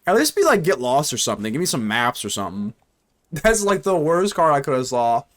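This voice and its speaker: Animated young man streaming a card game. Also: a little high, brisk. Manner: animated, talks to himself and chat, jokey. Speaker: gaming streamer. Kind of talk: live gameplay commentary.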